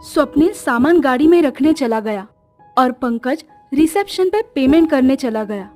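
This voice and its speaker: A woman's voice: sits high, expressive, normal speed, dark tone. A woman narrating a scripted horror story as a storyteller. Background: music.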